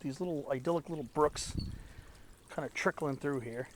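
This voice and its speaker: Congested man, slightly high voice, enthusiastic hiking vlogger, outdoors, faint noise. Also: moderate pace. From Southern California. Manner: enthusiastic, rambling, botanical asides. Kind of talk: casual walk-and-talk narration. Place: outdoors in a canyon on a trail.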